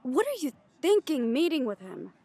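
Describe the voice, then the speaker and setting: A woman's voice: high-pitched, big pitch swings, moderate pace, mellow. A woman playing a sceptical, protective werewolf packmate in an audio roleplay, with a pointed, questioning delivery. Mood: wry, exasperated.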